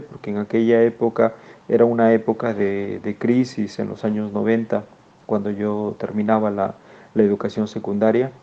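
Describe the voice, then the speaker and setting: A man in his forties from Peru with a northern Peruvian Spanish accent, recalling his schooling in a halting way, often repeating words and saying "eh". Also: fairly low pitch, creaky, mellow, dull audio. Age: 40s. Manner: halting, repeats words and restarts, many "eh" fillers. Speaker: educator guest. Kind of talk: personal recollection.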